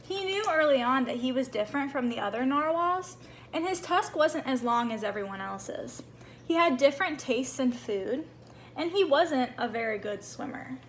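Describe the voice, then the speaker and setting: A woman reading a picture book aloud to children in an expressive, animated way, acting out the small moments. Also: high voice, normal speed, slight background noise. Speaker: storybook reader for children.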